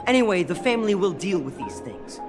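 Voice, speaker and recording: A man's voice: high-pitched, very animated, fairly quick, over music. A man playing a stern official security guard in a video game, formal and cautioning.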